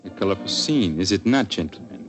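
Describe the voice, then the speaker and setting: An actor playing a courtly, formal Viennese count and police chief in 1940s radio style. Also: male voice, low-pitched, lively intonation, brisk. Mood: courtly, assured. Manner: formal, gallant. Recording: old radio broadcast, music behind.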